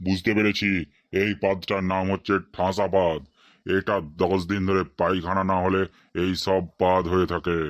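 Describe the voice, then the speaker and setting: A man's voice: low voice, level tone, moderate pace, studio quality, natural voice. A man playing a pompous, bossy, money-grubbing quack doctor in a comedy cartoon, mixing English words into his talk. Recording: roomy, dull lo-fi sound.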